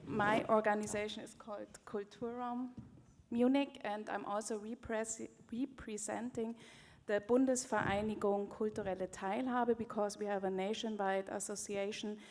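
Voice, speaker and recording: A woman from Germany introduces herself on a conference panel. She explains her work in a steady, matter-of-fact way. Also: mid-range voice, moderate pace.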